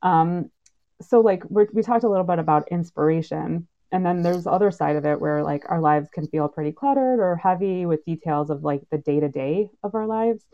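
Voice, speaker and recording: Female panel moderator, casual, gravelly fry in a lowish voice, slightly muffled audio. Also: brisk. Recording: slightly dull, muffled sound.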